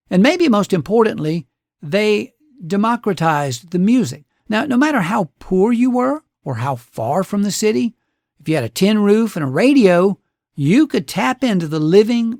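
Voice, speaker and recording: A man's voice: sits high, a little mellow, no room sound, clean studio sound. A man hosting a radio show, narrating a scripted story with folksy, dramatic phrasing.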